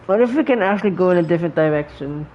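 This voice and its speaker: A woman's voice: fairly low pitch, expressive, racing, a little hiss or hum. A woman giving casual gameplay commentary, thinking aloud and often repeating words and phrases. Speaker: gaming streamer. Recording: dull lo-fi mic over game music. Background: faint game music.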